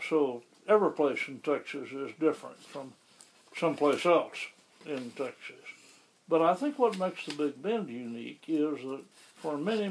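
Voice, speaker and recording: A man being interviewed about the town where he lives, explaining things in a measured, conversational way. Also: medium pitch, vocal fry, a little hiss or hum, rough recording. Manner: measured, explanatory, with occasional restarts. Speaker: interviewee, local resident.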